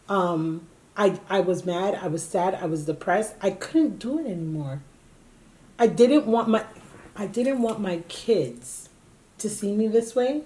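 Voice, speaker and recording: A woman's voice: medium pitch, a little mellow, poor audio. A woman in her late thirties, co-hosting a casual chat and telling her own story candidly and with emphasis. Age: 30s. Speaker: co-host.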